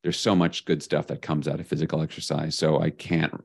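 A man being interviewed as an author on leadership, talking in an energetic, emphatic way. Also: a bass voice, a little fast, vocal fry, breathy edge, no echo, clean studio sound. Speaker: podcast guest, leadership author. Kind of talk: casual interview talk.

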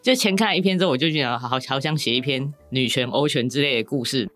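A woman's voice: a bass voice, fast, a bit bright, faint music behind. A woman with a Taiwanese Mandarin accent chatting casually and candidly as a guest novelist, rambling as she explains her writing.

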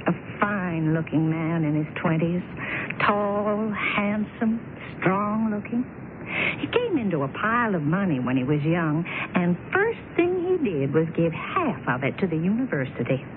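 A plain-spoken, knowing housekeeper in a radio play, with a lowish, expressive voice. She probes dryly and cautions gently, heard through a tinny, noisy old broadcast. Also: unhurried. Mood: wistful, cautioning. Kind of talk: radio drama acting.

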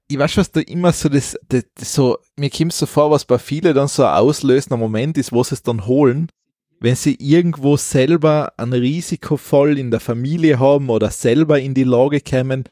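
A man's voice: mid-range voice, normal speed, a little mellow, dry recording, studio quality. A man with an Austrian accent co-hosting a podcast in casual, opinionated chat, often repeating words as he starts a thought. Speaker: podcast co-host.

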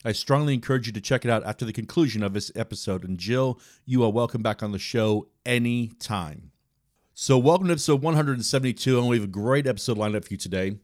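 A man's voice: mid-range voice, fast, no echo, clean studio sound. A man hosting his own podcast, reading a scripted intro and asking interview questions in an upbeat, announcer-like way. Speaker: podcast host.

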